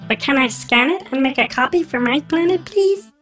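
A man putting on a high, formal voice for a friendly polite male alien in a children's story show. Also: lively intonation, moderate pace, a bit bright, faint music behind. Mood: earnest. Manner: formal, stiff phrasing.

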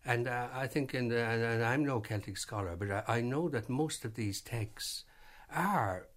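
A man, speaking hesitantly as an interview guest in a vintage radio program. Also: mid-range voice. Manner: halting, many fillers and restarts. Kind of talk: reflective interview talk.

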